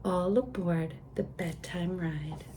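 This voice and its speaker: A woman's voice: on the low side, fairly even intonation, slow, dark tone, slight background noise. A woman voicing a kind little toy truck in a bedtime picture book. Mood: welcoming.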